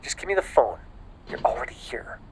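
A man playing a panicked, exhausted newly turned vampire in an ASMR audio roleplay, stammering and often dropping into a breathy whisper. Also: mid-range voice, big pitch swings, natural voice. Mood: hushed, apologetic. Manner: halting, stammering, breathy sighs and frequent swearing.

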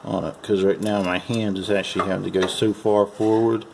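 A man with a low, gravelly voice gives casual, folksy instructions as a home mechanic. He speaks unscripted while working with his hands. There is faint noise behind him, as on an amateur video. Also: level tone, brisk. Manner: casual, folksy instruction, calling parts "her". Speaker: amateur mechanic giving a repair demonstration. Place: at a car's open engine bay.

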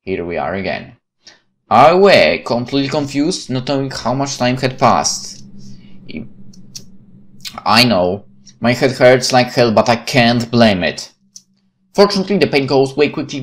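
A man reading a visual novel aloud in a theatrical, expressive manner, with spoken asides. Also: medium pitch. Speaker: gaming channel narrator. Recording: music behind the voice.

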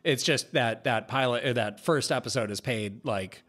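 A man's voice: medium pitch, normal speed, fairly bright tone. A middle-aged man co-hosting a podcast, explaining things in a casual conversational style. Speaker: podcast host. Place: office room, indoors. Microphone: close podcast microphone on boom arm.

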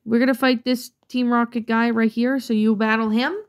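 A woman's voice: on the high side, level tone, normal speed. A woman casually commenting on her own gameplay, chatty and rambling with many fillers, slightly congested from a cold. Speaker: let's play gamer.

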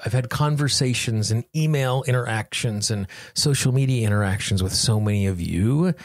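A man with a lowish, husky voice hosts a self-help podcast. He speaks earnestly and encouragingly. The sound is dry, with little room around it. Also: dry recording. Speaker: podcast host. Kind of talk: guided self-help tapping affirmations and podcast talk.

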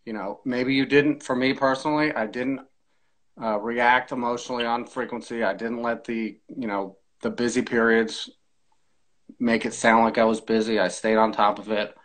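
A man in his forties speaking as an interview guest in a measured, explanatory way, giving practical advice on a video call. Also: medium pitch, fairly even intonation, fairly bright tone. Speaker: air traffic controller, podcast guest. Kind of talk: conversational interview, explaining tips. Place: small indoor room, video call.

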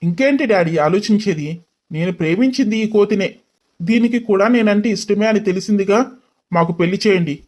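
Man as young lover, storybook hero, brash, expressive, high-pitched. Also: a little fast, close to an everyday voice. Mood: exasperated, teasing.

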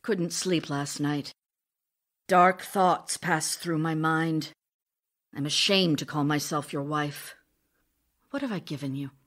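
An actress playing a devoted, anxious wife in an audio drama, voicing letters to her absent husband in an intimate, earnest, expressive way. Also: deep voice, slow, pauses often, full character voice. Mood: anguished. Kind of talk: audio drama acting, reading love letters aloud.

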